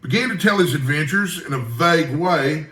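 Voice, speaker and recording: Male reader as storybook newspaper editor, older Scot, kindly and wry, bright raised voice, roomy echo. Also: a little high, lively intonation, moderate pace. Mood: brisk, clipped.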